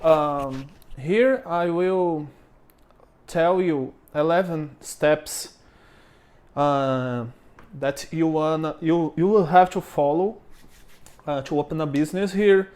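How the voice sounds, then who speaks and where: A Brazilian man with a fairly high voice talks through business steps in English, in no hurry. He has a clear Brazilian Portuguese accent, searches for words, and keeps checking in with "okay?". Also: a little mellow. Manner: halting, frequent fillers and checks of "okay?". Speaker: former business owner explaining steps. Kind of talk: informal explainer to camera.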